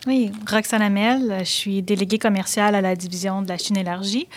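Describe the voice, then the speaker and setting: A woman's voice: medium pitch, steady tone, quick pace. A woman from Quebec City with a Québécois French accent, answering interview questions in a hesitant, self-deprecating way. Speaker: trade commissioner, interview guest. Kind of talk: casual conversational interview.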